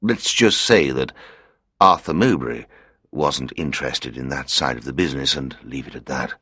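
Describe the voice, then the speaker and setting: A man's voice: a bass voice, moderate pace, bright, forceful tone, husky, dry recording, studio quality, heavily acted. An actor playing a nervous company director in his fifties, speaking hesitantly and apologetically in an audiobook. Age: 50s. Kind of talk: audiobook character reading.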